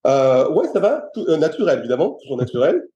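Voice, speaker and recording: A man's voice: on the high side, sing-song, brisk, dark tone, muffled recording. A middle-aged man from France, a personal trainer, chatting in an interview and stopping often to restart his sentences. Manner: hesitant, many restarts and fillers. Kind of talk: casual interview chat.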